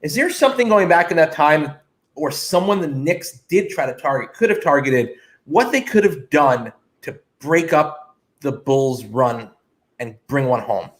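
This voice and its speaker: A man's voice: fairly high pitch, expressive, measured pace. A man co-hosting a sports podcast, chatting casually as he builds up questions and checks in with tag questions. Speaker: sports podcast co-host, interviewer.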